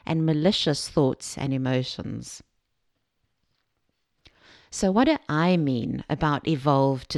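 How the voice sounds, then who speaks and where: A woman from Zambia giving a scripted self-help talk as a podcast host, measured and explanatory, speaking directly to the listener. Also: deep voice, darkish tone, no room sound, clean studio sound.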